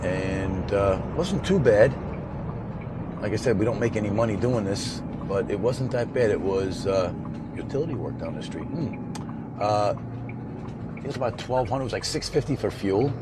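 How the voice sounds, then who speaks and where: A hoarse man with a somewhat low voice rambles to his viewers from behind the wheel, muttering at slow drivers between thoughts. Heavy road noise rumbles under everything he says. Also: animated. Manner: rambling, talks to viewers while driving, mutters at traffic. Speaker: vlogger driving home from a race. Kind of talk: casual driving vlog chat. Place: inside a moving vehicle on the road. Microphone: camera mounted in the vehicle.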